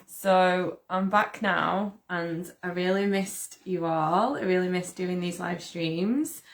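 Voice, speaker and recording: Host: upbeat woman, a little croaky, lowish voice, greets viewers as she goes. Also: slow pace. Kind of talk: casual live stream chat.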